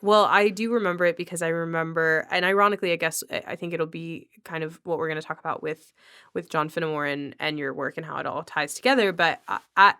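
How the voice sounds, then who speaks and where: A woman's voice: low voice, fast talker, a bit bright, smooth. A woman hosting a casual podcast conversation, talking in an effusive, outgoing way with a readiness to laugh. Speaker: podcast host.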